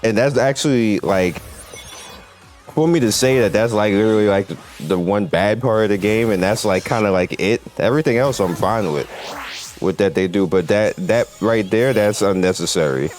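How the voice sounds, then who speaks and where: A man's voice: fairly low pitch, normal speed, a little mellow. A man hosting a gaming channel, giving casual, off-the-cuff commentary in a blunt, opinionated way over gameplay. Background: game music.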